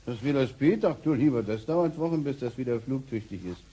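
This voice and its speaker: An actor playing an excitable, polite grandfather in a science fiction radio play, flustered and apologetic. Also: mid-range voice, fairly quick, slight background noise, close to an everyday voice. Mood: shocked. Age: older. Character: excitable, polite grandfather and stranded time traveler.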